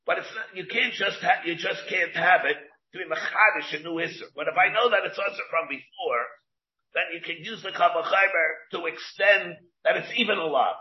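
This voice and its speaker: Rabbi teaching a Talmud class. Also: male voice, high voice. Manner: halting, restarts and asks questions aloud, answers students. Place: classroom with students. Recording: muffled lo-fi classroom recording.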